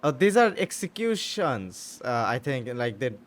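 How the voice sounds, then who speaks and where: A man doing casual gameplay commentary, thinking aloud haltingly and repeating his phrases. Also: mid-range voice, sing-song, slight background noise. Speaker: gaming streamer. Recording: loud game music behind the voice.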